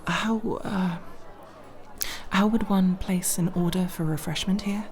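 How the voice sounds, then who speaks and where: A woman's voice: fairly low pitch, fairly even intonation, slow pace, darkish tone. A woman playing a nervous but proud young elf thief in an ASMR roleplay, speaking in formal, wordy, hesitant phrases. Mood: awkward, curious.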